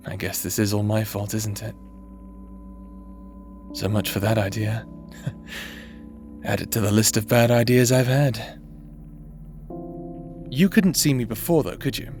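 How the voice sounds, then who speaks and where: A man's voice: on the low side, steady tone, measured pace, pauses often, faint music behind. A man voicing a remorseful necromancer husband who now haunts his partner's memory, speaking tenderly and teasingly in a roleplay audio drama. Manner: tender, teasing, softly spoken.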